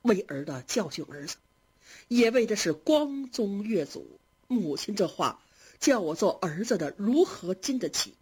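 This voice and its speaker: A woman's voice: medium pitch, big pitch swings, a little slow, a little mellow, husky, heavily acted. A woman reading aloud and voicing a humble middle-aged man who pleads meekly with his mother. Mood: pleading. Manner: meek, pleading. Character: humble middle-aged father, apologetic son.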